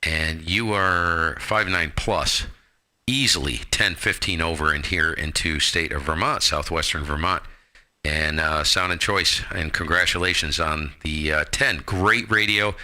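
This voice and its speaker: A deep-voiced American man with a very bright, forceful edge. He talks like a ham radio host, breezy and salty, tossing in slang as he chats. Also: moderate pace. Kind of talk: casual amateur radio chat.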